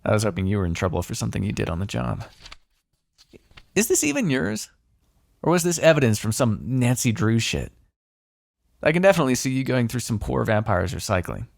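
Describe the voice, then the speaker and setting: Guy playing a smug wry vampire, gravelly voice, super close dry sound, teasing asmr roleplay. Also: mid-range voice, big pitch swings, a little fast, long pauses, dry recording. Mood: amused teasing. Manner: sardonic, conversational, teasing, with sighs and swearing. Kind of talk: intimate ASMR roleplay monologue.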